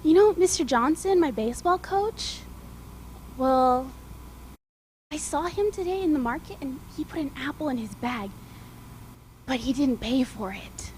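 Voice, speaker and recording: A woman voicing an earnest, excitable young boy in a story. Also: very high-pitched, a little slow, long pauses, a little hiss or hum, poor audio. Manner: excited, loud.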